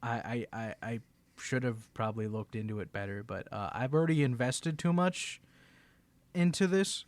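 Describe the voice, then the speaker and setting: A man's voice: medium pitch, normal speed, no echo. A man chatting casually and hesitantly, with a self-deprecating manner, as an audio creator introducing his work.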